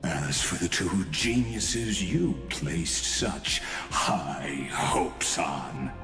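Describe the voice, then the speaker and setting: An ancient genius villain from a video game, with a very low voice and a slow, deliberate pace. He is grave, pompous and coldly philosophical. His words echo as he speaks in theatrical, condescending monologue. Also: a bit bright, full character voice. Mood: cold, scornful. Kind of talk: dramatic scripted video game voice acting. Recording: echoing voice over background music.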